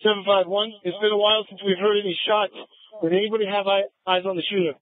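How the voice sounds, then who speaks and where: A man's voice: high-pitched, flat delivery, quick pace, no room sound. A male police officer making urgent emergency calls over police radio. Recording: tinny narrow-band scanner radio.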